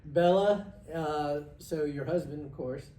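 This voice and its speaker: A man's voice: on the high side, a little slow, warm tone. A man, hosting a podcast in a jokey, rambling manner with lots of restarts. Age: 40s. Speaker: podcast host. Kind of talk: casual podcast chat. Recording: echoey room, mic at a distance, faint noise.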